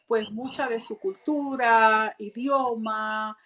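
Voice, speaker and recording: A woman's voice: fairly high pitch, steady tone, slow pace, a bit bright. A woman hosting a live radio interview from a mosque, hesitant, with many fillers and restarts. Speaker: radio host.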